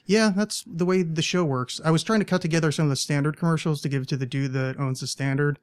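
Podcast host guy, kinda high voice, rambly and self-deprecating, small studio. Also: steady tone, fast talker, clear, resonant tone, no room sound. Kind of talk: casual chat.

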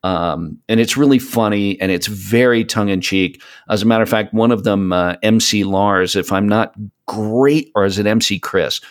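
A man with a deep voice speaks as a guest in a casual interview. He explains things with enthusiasm and tends to ramble as he goes, keeping a conversational podcast style. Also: normal speed, few pauses. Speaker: podcast guest, voice of an audio show.

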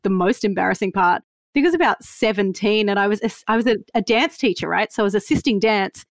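A woman's voice: medium pitch, fast talker, no echo. A woman in her fifties from Australia, with an Australian accent carrying a slight Canadian tinge, telling stories as an interview guest with lots of laughter and self-mockery. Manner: animated storyteller, laughs often, self-mocking. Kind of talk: casual interview chat.

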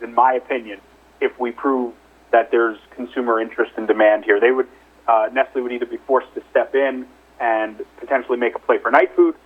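Male company executive, husky, conversational and hedging, heard through a narrow phone-line recording on an investor call. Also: mid-range voice. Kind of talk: investor call question-and-answer. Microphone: telephone conference call. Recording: narrow, muffled telephone line.

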